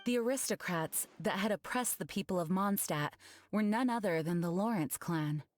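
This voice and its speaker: A woman playing an earnest, dutiful young knight commander in an anime video game, speaking in a measured, formal way. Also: medium pitch, a little hiss or hum, close to an everyday voice. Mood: solemn, explanatory. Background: background music.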